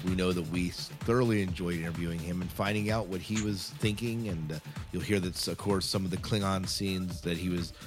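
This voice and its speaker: A man's voice: sits low, fairly quick, music in the background. A man co-hosting a podcast, talking casually and chattily to listeners, rambling a little and correcting himself as he goes. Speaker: podcast co-host.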